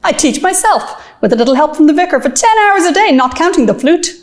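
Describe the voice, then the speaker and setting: A woman voicing a brash, confident teenage schoolgirl who talks in a brisk, cocky way. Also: high voice, animated, voice pushed up.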